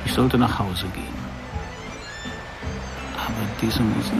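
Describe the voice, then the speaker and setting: Weary male private eye, deep gravelly voice, dry laconic narration, radio crime drama, music behind. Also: slow pace. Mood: brooding. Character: world-weary hard-up male private detective in 1950s Paris. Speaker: lead actor and narrator. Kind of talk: first-person crime radio play narration.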